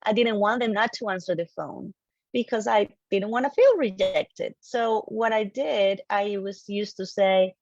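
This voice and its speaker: A woman with a Colombian accent, a native Spanish speaker, giving an animated, emphatic motivational presentation to an online audience and repeating key phrases. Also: mid-range voice, a little slow, a little hiss or hum. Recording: dull, lo-fi online audio, slightly roomy.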